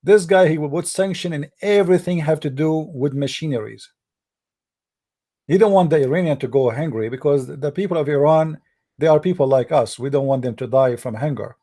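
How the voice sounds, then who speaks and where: A man whose first language is Arabic talks in a blunt, heated casual monologue. His voice sits a little high but sounds soft and mellow, and he stumbles through fillers while searching for words. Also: brisk. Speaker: commentator.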